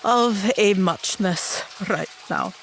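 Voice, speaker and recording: A woman's voice: medium pitch, slow pace, a little hiss or hum. A woman voicing a weary, grieving, guarded female adventurer in a tabletop fantasy game. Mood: tearful, frustrated.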